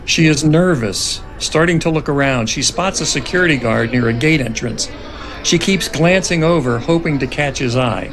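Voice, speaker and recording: A man with a gravelly voice reading scene directions as narrator of a dramatic script reading. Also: moderate pace. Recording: noticeable background rumble.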